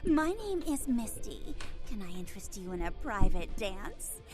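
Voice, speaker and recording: Flirty, swooping nightclub dancer. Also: female voice, medium pitch, very animated, a little slow, music in the background. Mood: flirty, coy. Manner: coy, purring sales patter. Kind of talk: video game voice acting.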